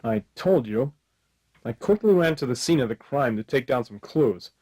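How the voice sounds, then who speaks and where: A man performs a hard-boiled private detective from a 1940s radio play. He is wry and deadpan, cracking wise in a mid-pitched male voice as he tells his story. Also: normal speed, slight background noise, close to an everyday voice.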